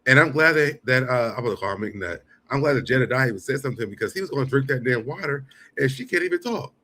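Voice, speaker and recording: A man's voice: medium pitch, animated, fast talker, sharp tone, a little hiss or hum. A man hosting a show, giving casual, blunt and profane live commentary between clips. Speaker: show host.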